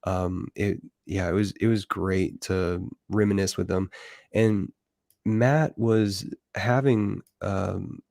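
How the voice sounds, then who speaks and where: A man's voice: low-pitched, fairly even intonation, slow pace, vocal fry, darkish tone, dry recording, studio quality. A man hosting a livestream, talking casually and rambling with many fillers. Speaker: livestream host. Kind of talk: casual online chat.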